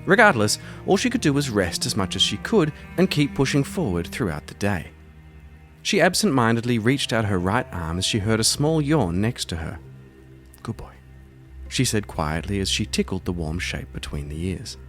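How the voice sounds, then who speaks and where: Low-voiced male storyteller over music. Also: big pitch swings, moderate pace, fairly bright tone. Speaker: host and narrator of a solo role-playing audio drama. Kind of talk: scripted narration mixed with casual play commentary.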